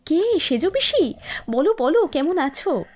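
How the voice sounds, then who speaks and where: A woman playing a spiteful, scheming young village wife in a cartoon, shrill and dramatic as she nags and complains. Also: very animated, measured pace, darkish tone. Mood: excited, chatty. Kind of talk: animated cartoon moral-story acting.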